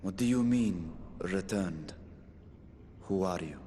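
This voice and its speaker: Serious game hero companion, low mellow voice, kinda wary. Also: male voice, slow, a little hiss or hum. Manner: serious, questioning. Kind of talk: video game cutscene acting. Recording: music behind.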